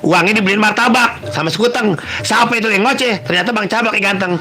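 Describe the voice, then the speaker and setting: A man with a Betawi Jakarta accent hosts a joking call-in style show, reading out silly questions and pantun and mocking them with loud bursts. His voice sits high and is a little sniffly, and music plays clearly behind him. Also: fast talker. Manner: banters, teases listeners, breaks into loud bursts. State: runny nose, sniffly. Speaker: comedic radio-style host reading listener questions.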